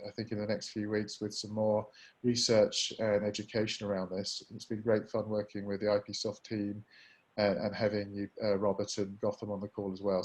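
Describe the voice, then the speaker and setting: A man's voice: lowish voice, darkish tone, low-quality recording. A man hosting a business webinar, moderating the discussion in a conversational way. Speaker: webinar host.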